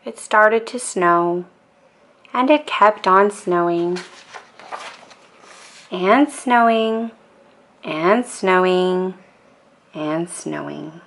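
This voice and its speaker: A woman storyteller narrating a children's bedtime book in a calm, expressive and deliberate way. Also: on the low side.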